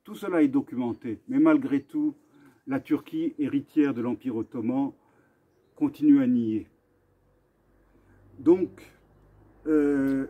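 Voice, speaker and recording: A man of medium pitch speaks as host in an earnest, commemorative address. His voice is somewhat husky and he goes deliberately, pausing and repeating himself. The recording is dull and lo-fi. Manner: deliberate, with halting pauses and repetitions. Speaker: video host and association vice-president. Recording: dull, muffled lo-fi sound.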